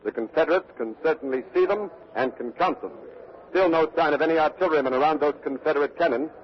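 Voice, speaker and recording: A man's voice: fairly high pitch, fast, close to an everyday voice. An actor playing an earnest male war correspondent, formal and urgent, in a 1940s radio news dramatization. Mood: tense, reporting. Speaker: radio reporter and interviewer. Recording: dull vintage radio broadcast recording.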